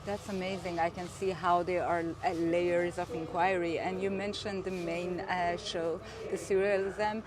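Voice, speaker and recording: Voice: medium pitch, level tone, unhurried, few pauses, crowd noise. An interviewer asking long, hesitant questions outdoors, full of fillers and restarts. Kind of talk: casual interview. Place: outdoors at an art exhibition.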